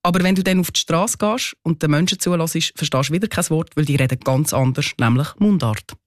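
A man with a Swiss German accent hosts a radio talk in a fairly high voice and asks curious follow-up questions. The sound is close and dry, with no room around it. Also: brisk, no echo. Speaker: radio host. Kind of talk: radio chat.

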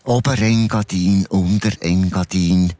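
Deep-voiced Swiss German male host. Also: unhurried, no room sound. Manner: relaxed, self-deprecating questioning. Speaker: radio host. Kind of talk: casual interview.

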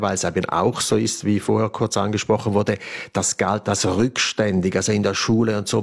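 A male radio correspondent with a Swiss accent talks in a conversational way. His voice is fairly low, with a gravelly fry and a husky, rough edge.

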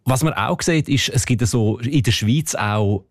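A man with a Swiss German accent, calmly explaining dialect research as a radio guest. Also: medium pitch, a little slow, no room sound. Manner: thoughtful, explanatory, with fillers.

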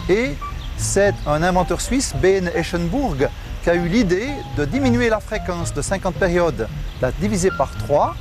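A man narrating in a measured, factual way, explaining the history of something. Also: sits high, lively intonation. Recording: voice over loud music.

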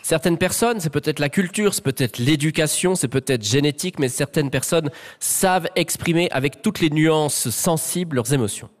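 A man's voice: fairly high pitch, moderate pace. A man delivering a humorous definition as a comedy panelist on a game show. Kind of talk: performed humorous definition to an audience. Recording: reverberant hall with a live audience.

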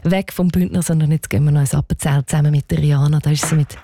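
Zurich radio host, woman, mellow lower voice, curious interviewer. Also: very fast, dry recording, clean studio sound. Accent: Zurich Swiss German. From Switzerland. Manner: curious, lively questioning. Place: radio studio.

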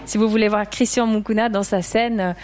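A woman hosting a radio panel game, teasing and quick to laugh. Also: mid-range voice, steady tone, darkish tone. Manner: playful, teasing, laughs often. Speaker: radio game show host. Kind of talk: lively radio panel chat.

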